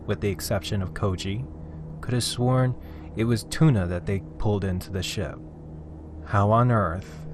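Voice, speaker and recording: A man's voice: fairly low pitch, creaky, noisy background. A man narrating a scripted horror story in a suspenseful, theatrical manner, drawing out key phrases for emphasis. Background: music under the voice.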